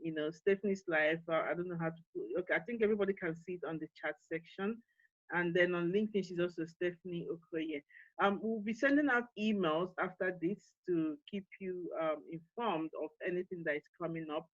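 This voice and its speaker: A woman's voice: lowish voice, brisk, no room sound, lo-fi sound. Earnest Nigerian woman on a video call. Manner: earnest, encouraging, with frequent fillers and restarts. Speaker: forum co-founder and CEO hosting an online summit. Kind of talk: panel talk and closing remarks.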